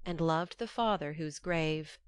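Low-voiced woman narrating, dry recording. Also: measured pace, smooth, no echo. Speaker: audiobook narrator. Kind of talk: scripted literary narration.

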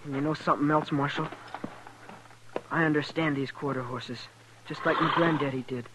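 Guy playing stubborn proud teen cowboy, american, highish voice, noisy old radio show. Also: fairly even intonation, moderate pace, natural voice. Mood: proud, determined. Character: proud, stubborn teenage farm boy, polite but determined. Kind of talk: 1950s radio western acting. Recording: noisy vintage radio recording.

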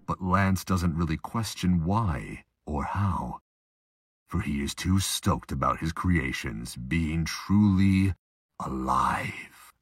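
Deep, husky male narrator. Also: no room sound, studio quality. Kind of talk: scripted audio drama narration.

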